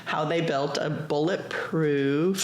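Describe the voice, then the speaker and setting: A man's voice: fairly high pitch, steady tone, takes it slowly. A man hosting a podcast interview, upbeat and praising as he prompts his guests. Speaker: podcast host. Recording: echoey room.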